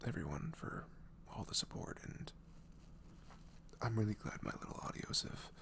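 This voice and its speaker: A man speaking softly in a casual, hesitant, rambling ASMR style, apologetic in manner. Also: on the low side, expressive, normal speed, creaky. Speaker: audio roleplay creator. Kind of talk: soft-spoken ASMR, casual rambling intro.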